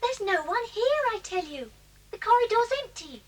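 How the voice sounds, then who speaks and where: A high-voiced, excitable British schoolgirl. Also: normal speed. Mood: nervous. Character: excitable, fretful English boarding school girl. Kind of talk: audio drama acting.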